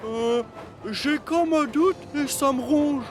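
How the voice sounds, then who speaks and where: A man's voice: very high-pitched, slow, over music. An actor playing a hesitant, doubtful but obliging male sidekick locomotive in a children's cartoon dub, with a questioning manner.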